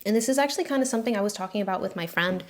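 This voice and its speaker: A woman's voice: mid-range voice, expressive, rapid-fire. A woman in her twenties with an American accent, a freelance designer talking candidly to camera in a casual vlog, with slangy asides. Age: 20s.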